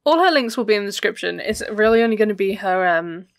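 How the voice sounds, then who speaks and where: A woman's voice: mid-range voice, animated, fast, dry recording, clean studio sound. A young adult woman vlogger giving casual, self-mocking reaction commentary from her bedroom, laughing often. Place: bedroom, indoors.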